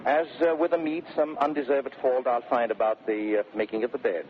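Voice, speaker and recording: An actor playing a domineering, blustering Shakespearean husband in 1930s radio style, declaiming theatrically between bellows and smooth mock-sweetness. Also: male voice, mid-range voice, fairly even intonation, a little fast, close to an everyday voice. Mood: smug scheming. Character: domineering, blustering Shakespearean husband bullying his servants and bride. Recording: noisy, muffled 1930s radio recording.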